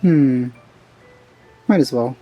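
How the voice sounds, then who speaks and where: A man's voice: medium pitch, slow, lo-fi sound. Offhand man commenting on a game. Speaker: let's play host. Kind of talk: casual gameplay commentary. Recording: game music behind.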